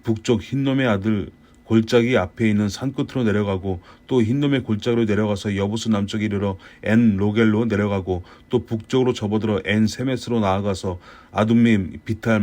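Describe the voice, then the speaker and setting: A man reading a Bible passage aloud in a steady, measured way, working through long lists of place names. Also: lowish voice.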